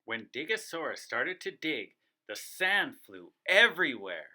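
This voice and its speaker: Man, very bright forceful voice, lively pitch swings, children's storybook narration, dramatic. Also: measured pace. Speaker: co-reader of a children's read-aloud.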